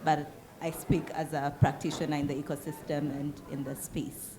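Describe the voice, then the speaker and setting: A woman's voice: deep voice, flat delivery, moderate pace, a crowd in the background. A woman lawyer from Kenya introducing herself on a panel, explaining things with dry humor.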